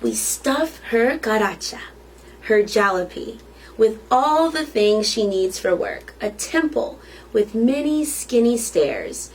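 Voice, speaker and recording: A woman's voice: a little high, animated, slow, a little hiss or hum, rough recording. A woman reading a children's picture book aloud as a storytime reader, expressively and with questions to the listeners.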